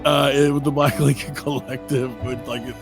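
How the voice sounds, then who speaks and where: A man with a gravelly voice hosting a comedy podcast in a casual, conversational style. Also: medium pitch, level tone, normal speed, music in the background. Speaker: podcast host.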